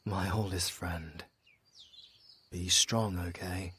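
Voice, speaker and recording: A man playing a noble, resigned demon hunter in a fantasy audio drama, solemn and measured. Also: deep voice, steady tone, a little hiss or hum, heavily acted. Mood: gentle, resolute. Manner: solemn, measured, hushed at times.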